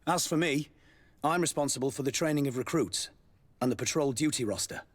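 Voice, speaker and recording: A man playing a deferential, evasive military officer in a video game, with a husky voice. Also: mid-range voice, fairly quick, natural voice. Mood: formal. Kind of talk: video game dialogue acting.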